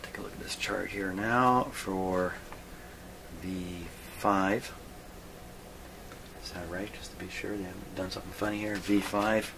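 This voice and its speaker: A man with a deep voice talks slowly through a hands-on demonstration in a workshop. He mutters to himself and pauses often, thinking aloud. Steady noise sits clearly behind him. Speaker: host of a radio repair video. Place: radio repair workshop.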